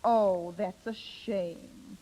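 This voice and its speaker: An actress playing a meddling, gushy and insincere married older sister in 1930s radio style. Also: female voice, medium pitch, steady tone, very slow, mellow. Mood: sweetly false. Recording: muffled 1930s radio recording.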